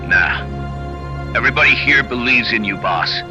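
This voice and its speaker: A man's voice: mid-range voice, expressive, moderate pace, over music. A man voicing a confident, loyal military commander in a video game, speaking sharply over a radio. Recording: dull military radio link.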